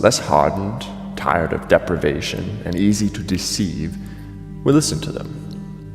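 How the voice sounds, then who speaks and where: An actor playing a vengeful king tormented by rage in a classical tragedy, declaiming gravely and theatrically. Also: deep voice, heavily acted. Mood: scheming, cold. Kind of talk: classical tragedy monologue, declaimed audio drama. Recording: long hall reverb, music underneath. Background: dramatic music.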